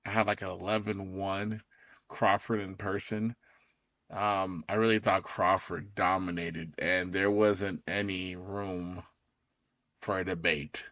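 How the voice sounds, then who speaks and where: A man hosting his own podcast in a loose, rambling way, his voice raspy as it recovers. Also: sits low, little pitch movement, slow, fairly bright tone, dry recording, highs cut off. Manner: loose, rambling, full of fillers and restarts. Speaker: podcast host. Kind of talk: casual solo recap talk.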